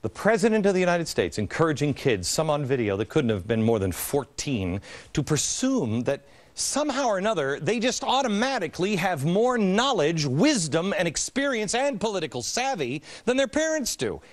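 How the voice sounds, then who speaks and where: Male TV host, 40s, sarcastic, indignant, lively pitch, studio. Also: moderate pace. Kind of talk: opinion TV commentary. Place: large TV studio set. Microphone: studio mic, standing at camera.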